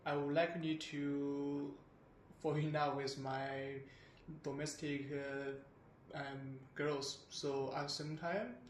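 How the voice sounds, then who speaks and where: Flat-voiced man in an echoey room. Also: a little high, takes it slowly. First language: Chinese. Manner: hesitant, many fillers, flat delivery. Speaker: interviewee. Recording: roomy indoor echo, faint hum.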